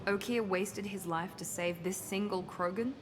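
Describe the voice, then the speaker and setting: A woman playing a cautious, serious military officer in a game, with formal, measured wording. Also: medium pitch, steady tone, background noise, lightly acted. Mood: cautious, skeptical. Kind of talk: game character acting.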